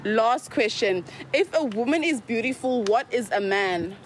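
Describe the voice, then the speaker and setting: A woman interviewing people on the street, upbeat and quick with her questions and thanks. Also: fairly high pitch, expressive. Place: outdoors on a street. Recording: street recording with faint noise.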